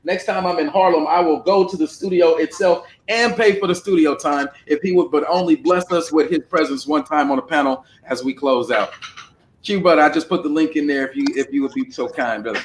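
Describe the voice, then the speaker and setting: Blunt, animated male livestream host. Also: a little high, a little fast. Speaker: panel host. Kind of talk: casual livestream panel talk.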